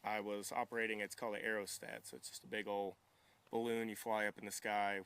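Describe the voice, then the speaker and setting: A young adult man with a slightly low, bright voice answers interview questions casually. His speech is very clear, and he comes across as polite, modest and easygoing. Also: little pitch movement, brisk. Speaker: interview guest, military veteran and welder.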